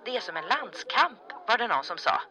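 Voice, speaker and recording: Cast a woman as a polite barmaid on a late call, lively pitch, rowdy crowd behind. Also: natural voice. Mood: explaining. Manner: apologetic, polite. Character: apologetic female tavern keeper phoning late at night. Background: crowd of revellers.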